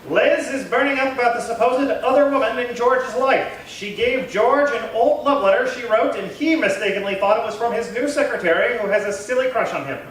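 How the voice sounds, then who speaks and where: A man's voice: very high voice, a little fast, few pauses, fairly bright tone. A man playing a 1950s radio comedy announcer, reading scripted narration from afar in an echoey hall. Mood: brisk recap. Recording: echoey hall, distant mic, faint noise.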